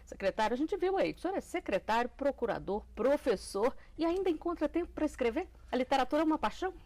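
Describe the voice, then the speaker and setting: A woman hosting a TV interview program, politely and briskly introducing and questioning her guest. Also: sits high, big pitch swings, a little mellow, no room sound. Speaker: TV show host and interviewer. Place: TV studio.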